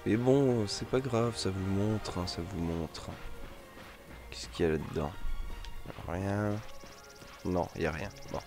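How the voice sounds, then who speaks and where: A man's voice: low-pitched, steady tone, slow pace, pauses often. A man with a head cold, giving casual, rambling gameplay commentary and repeating his phrases. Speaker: let's play host. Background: game music.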